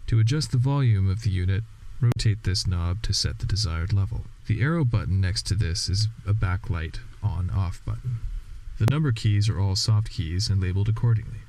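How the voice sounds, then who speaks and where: Male, training narrator, scripted instructions, lowish pitch, dark relaxed tone, matter-of-fact. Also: moderate pace, a little hiss or hum.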